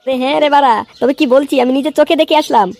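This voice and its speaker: A woman voicing a gossipy, foul-mouthed young man in a comedy cartoon, tattling in a crude, excitable way. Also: sits high, rapid-fire. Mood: annoyed, insistent. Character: gossipy, foul-mouthed bald young male club friend.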